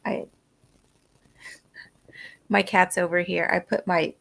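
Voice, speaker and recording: Woman with a very creaky voice, unhurried. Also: on the low side, fairly even intonation, fairly bright tone. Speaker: livestream host of crafting sprints. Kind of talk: casual chat.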